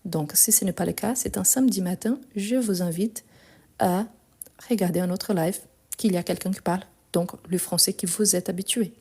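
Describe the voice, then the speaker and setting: A woman's voice: fairly low pitch, moderate pace, a little mellow, husky. A woman teaching grammar in a live lesson, didactic and insistent, repeating key points. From France and Brazil. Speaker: French teacher.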